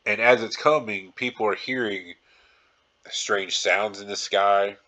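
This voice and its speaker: Deep, bright-voiced man podcasting casually. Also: steady tone, a little slow, lo-fi sound. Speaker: podcast host. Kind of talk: casual, rambling solo podcast talk.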